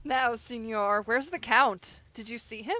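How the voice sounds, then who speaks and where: A woman acts a courtly, flirtatious prince in a Shakespearean radio play. The voice is fairly high, slow-paced and expressive, with faint music behind. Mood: inquiring.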